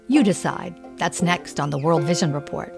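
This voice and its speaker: A woman's voice: on the low side, moderate pace, vocal fry, music in the background. A woman presenting and interviewing in a scripted radio news style.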